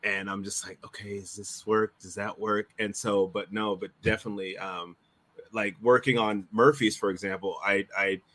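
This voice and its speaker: A man chatting casually as a show's director, in a halting way that circles back over phrases. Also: on the low side, steady tone, a bit bright. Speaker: director, show regular. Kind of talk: casual chat.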